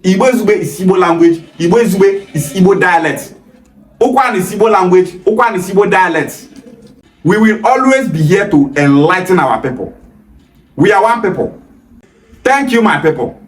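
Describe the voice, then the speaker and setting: A young Nigerian man with a Nigerian accent, talking straight to the camera with emphatic, passionate delivery and sharp rises when he pushes back. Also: sits high, expressive, normal speed, reverberant. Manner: emphatic, gestures freely, rises sharply on rebuttals. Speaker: video host. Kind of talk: passionate direct-to-camera talk. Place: small indoor room against a plain wall.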